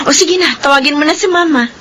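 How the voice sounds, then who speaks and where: An actress playing a cheerful, caring young adult daughter, bubbly and excited, in a radio drama. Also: female voice, sits high, brisk, a little hiss or hum. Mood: cheerful, busy. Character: cheerful caring grown daughter and older sister.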